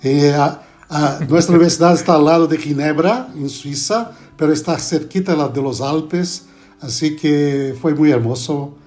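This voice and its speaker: A middle-aged man from Brazil, a pastor with a Brazilian Portuguese accent, talking in a relaxed, halting way with frequent tag questions as a podcast guest. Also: a little high. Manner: relaxed, adds "no?" tags, halting Spanish. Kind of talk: podcast interview.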